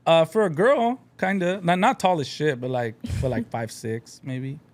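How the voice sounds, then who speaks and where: A man's voice: on the high side, moderate pace. A man co-hosting a podcast, talking in a laid-back, rambling way full of fillers. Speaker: podcast co-host. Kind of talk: casual chat.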